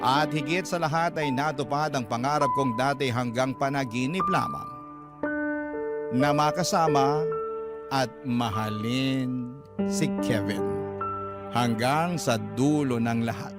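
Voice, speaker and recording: A man's voice: medium pitch, a little slow, long pauses. A man with a Filipino accent reading a listener's letter as a dramatic radio narration. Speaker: radio show host and story reader. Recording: loud music under the voice. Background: loud instrumental music.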